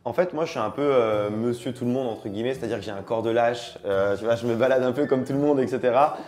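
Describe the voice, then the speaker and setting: A man in his thirties from France, a guest chatting casually in a studio interview in a relaxed, slangy way. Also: mid-range voice, quick pace. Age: 30s. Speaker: travel content creator, guest.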